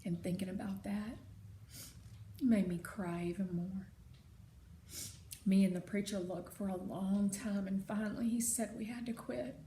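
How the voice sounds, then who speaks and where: A woman narrating a children's story expressively, with deliberate, dramatic phrasing. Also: mid-range voice, steady tone, mellow. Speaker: read-aloud narrator. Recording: roomy sound with faint noise.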